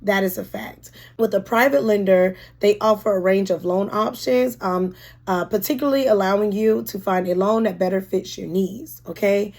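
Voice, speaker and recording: Hoarse, chatty woman realtor. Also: medium pitch, fairly even intonation, normal speed. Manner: chatty and warm, with frequent ums and restarts. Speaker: real estate agent. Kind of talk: casual explainer video.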